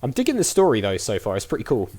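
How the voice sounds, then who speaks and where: Lively, chatty man commentating on a game. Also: lowish voice, very fast. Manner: chatty, thinking aloud. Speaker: let's play host.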